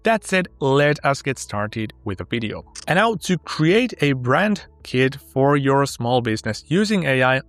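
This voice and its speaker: A man whose first language is Finnish, upbeat and walking step by step through a sponsored software tutorial. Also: mid-range voice, dry recording. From Finland. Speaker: tech tutorial host.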